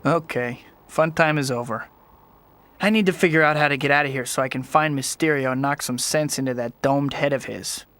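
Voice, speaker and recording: An actor playing a young, wisecracking video game superhero with a flippant, sarcastic, unimpressed way of quipping. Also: male voice, mid-range voice, fairly quick, a bit bright, a little hiss or hum, close to an everyday voice. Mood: determined. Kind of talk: video game hero acting. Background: game music.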